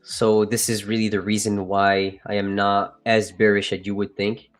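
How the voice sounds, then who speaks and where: A man's voice: on the low side, flat delivery, moderate pace, soft background music. A man with a French accent hosting a live trading stream, chatting casually and joking with viewers. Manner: joking, laughs often, trails off and restarts. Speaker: livestream trading host.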